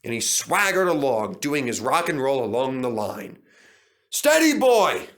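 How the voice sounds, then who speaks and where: Guy reading a kids' train story, lively up and down, bright voice. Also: medium pitch, normal speed. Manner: animated, expressive.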